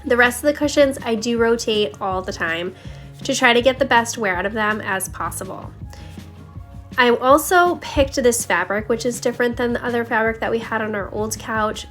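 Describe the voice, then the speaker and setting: Chatty higher-pitched woman with slightly scratchy voice. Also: fairly bright tone. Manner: chatty, confiding, addresses viewers directly. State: slightly scratchy voice, needs to clear throat. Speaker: home cleaning vlogger. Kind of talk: casual chatty voice-over narration. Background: background music.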